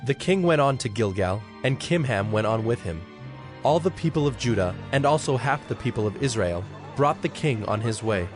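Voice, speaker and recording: A man's voice: mid-range voice, animated, moderate pace. A man reading scripture as the narrator of a dramatized production, in scripted storytelling style. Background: soft music.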